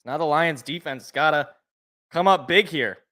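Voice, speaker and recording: A young man calling a football game play-by-play from a sports podcast, casual, with a slightly rough, sniffly voice from allergies. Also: on the high side, moderate pace. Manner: excited calls, casual asides. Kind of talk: live play-by-play commentary.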